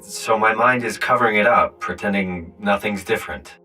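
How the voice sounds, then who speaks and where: A man's voice: lowish voice, moderate pace, bright, forceful tone, soft background music. An actor playing a bewildered, earnest everyman in a video game, with a questioning, hesitant manner. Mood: troubled. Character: bewildered, earnest everyman whose mind is in a machine body.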